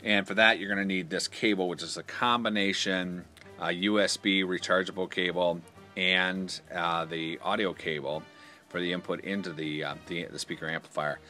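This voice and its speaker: Very deep-voiced man giving a hands-on walkthrough. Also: fairly even intonation, normal speed, bright, forceful tone, soft background music. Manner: informal, explanatory, pointing things out step by step. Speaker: product demonstrator. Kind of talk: casual hands-on product walkthrough.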